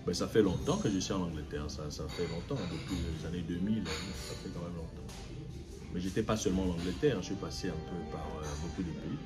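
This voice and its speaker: A very deep-voiced man answers interview questions as a sociologist. He speaks hesitantly with frequent fillers and drifts between French and Lingala. Loud music plays behind him. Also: a little fast. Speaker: guest, sociologist. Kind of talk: casual interview.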